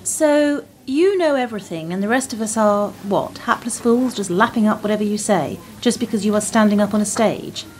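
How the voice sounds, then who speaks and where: A woman's voice: mid-range voice, normal speed, few pauses, lightly acted, poor audio. A woman playing an earnest, inquisitive admirer with a questioning manner in a radio play. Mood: challenging. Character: eager, inquisitive female fan with a dull job. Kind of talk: radio drama dialogue.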